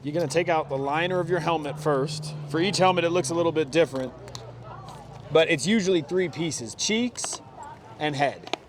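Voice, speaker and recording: A man's voice: a little high, normal speed, background noise. A man chatting casually and informally as he talks through hands-on gear installation, outdoors among friends. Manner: informal, slangy, says dude. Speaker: motorcycle vlogger.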